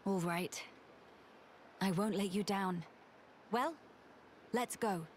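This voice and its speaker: A woman's voice: mid-range voice, slow pace, slight background noise, lightly acted. A woman playing a noble, determined young mage in a video game, formal and earnest in manner. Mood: composed, earnest. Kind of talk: video game cutscene acting.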